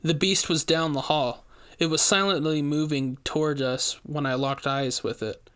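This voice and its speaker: A man's voice: on the high side, steady tone, normal speed, fairly bright tone, clean tone. A man reading a scripted first-person horror story as its narrator.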